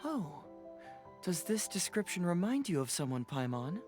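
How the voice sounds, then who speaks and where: A man's voice: high voice, very animated, moderate pace, over loud music. An actor playing a shrewd young male detective in a video game, probing and playfully skeptical.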